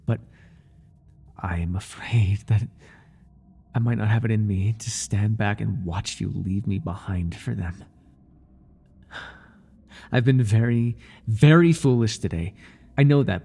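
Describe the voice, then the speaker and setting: A man playing an obsessive, groveling high priest who serves a dark master, speaking in a pleading, servile way in an intimate ASMR roleplay. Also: creaky, husky, full character voice. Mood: desperate begging. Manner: pleading, servile, breathless with devotion.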